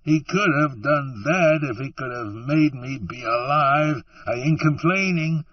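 Man voicing a weepy ashamed drifter, rustic grammar, slow pleading, dull distant sound. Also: fairly low pitch, sing-song, husky, no room sound, exaggerated voice. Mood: tearful. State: tearful.

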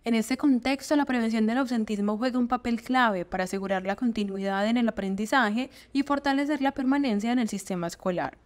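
Woman, fairly high voice, scripted voice-over narration, news report. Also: fairly quick, few pauses.

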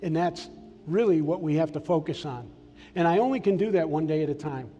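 Older man, preacher, earnest personal testimony, mellow tone, reverberant sanctuary. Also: fairly high pitch, a little fast, dull audio. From Massachusetts. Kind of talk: sermon. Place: large church sanctuary stage. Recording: long hall reverb, soft music behind.

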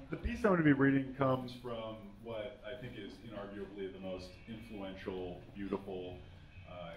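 A man giving a comic reading on a small stage at a stand mic, deadpan and mock-earnest. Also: on the low side, lo-fi sound. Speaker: guest reader. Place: small stage with curtains and brick wall.

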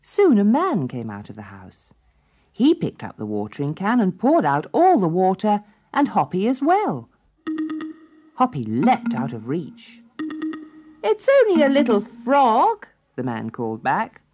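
A woman narrating a children's storybook in an expressive, dramatic way. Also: fairly low pitch, a little slow, lo-fi sound.

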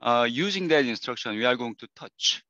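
A man lecturing as a professor in an online class, hoarse from losing his voice, talking in halting, repeated phrases with many fillers. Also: mid-range voice, expressive, sharp tone, no echo, dull audio.

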